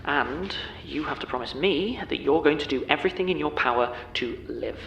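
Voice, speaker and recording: A man playing a tender, steadfast partner in an audio drama, speaking in an earnest, hesitant and gentle way. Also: a little high, animated, normal speed, vocal fry, a little hiss or hum, rough recording. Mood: tender, firm. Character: tender, steadfast man pleading with his beloved.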